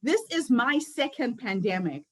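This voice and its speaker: A woman in her fifties speaks in a notably high voice. She delivers an earnest motivational talk as a summit founder, weaving personal stories through it. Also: big pitch swings, a little slow, slightly rough. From Zimbabwe. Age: 50s. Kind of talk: motivational speech.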